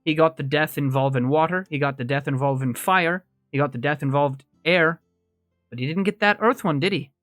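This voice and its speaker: A man's voice: fairly high pitch, a little fast, fairly bright tone, dry recording, faint music behind, close to an everyday voice. A man voicing a dry, wry, seasoned female law officer in a tabletop game. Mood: reassuring.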